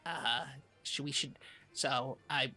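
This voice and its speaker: A man's voice: mid-range voice, slow pace, pushed and bright, soft background music, full character voice. A man playing a flustered, conflict-avoiding small-town podcast co-host, stammering and trailing off in fussy comedy chat. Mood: flustered, exasperated.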